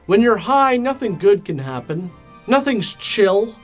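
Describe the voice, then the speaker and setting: Higher-voiced man doing sarcastic film recap. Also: very animated, unhurried, faint music behind. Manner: sarcastic, mock-dramatic, casually crude. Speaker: host of a film commentary show. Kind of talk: comedic recap narration.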